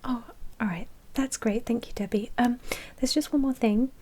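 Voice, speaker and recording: A woman voicing a polite, obliging vampire blood bank manager in a soft, whispered ASMR roleplay, with courteous customer-service patter. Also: a little high, steady tone, moderate pace, a little hiss or hum, close to an everyday voice. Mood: courteous, sympathetic.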